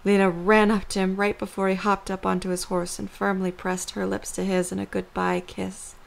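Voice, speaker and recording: A woman's voice: medium pitch, level tone, moderate pace, vocal fry. A woman reading scripted story narration for an audio drama as the narrator.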